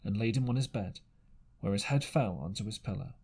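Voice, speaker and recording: A man's voice: fairly low pitch, creaky, darkish tone, slightly rough. A man reading an adventure novel aloud as an amateur narrator, stumbling now and then and correcting himself. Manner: halting reading with misreadings and corrections. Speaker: amateur audiobook reader.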